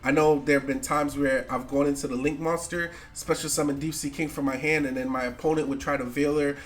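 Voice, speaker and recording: A man's voice: fairly high pitch, steady tone, brisk. A young man with a congested, nasal voice from a cold, chatting loosely and slangily about card game decks as a channel host, often tagging "right?" onto his sentences. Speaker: gaming YouTuber.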